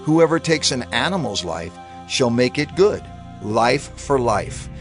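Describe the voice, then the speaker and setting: A man reads scripture aloud in a measured, declamatory way, his pitch rising and falling expressively. Clear music plays underneath the whole reading. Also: sing-song. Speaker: scripture reader.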